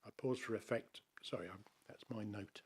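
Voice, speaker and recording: A man, a councillor, reads a prepared objection to a planning committee in a measured, formal way with dry asides, in a council chamber. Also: lowish voice, steady tone, creaky, husky. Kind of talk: reading a prepared statement to a committee.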